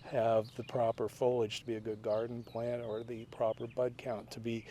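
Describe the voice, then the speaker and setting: A man's voice: medium pitch, monotone, normal speed, warm tone, slight background noise. A man who grows daylilies, explaining in a plainspoken, matter-of-fact way during an interview in a garden. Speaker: daylily grower. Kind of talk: casual explanatory interview answers. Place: outdoor garden.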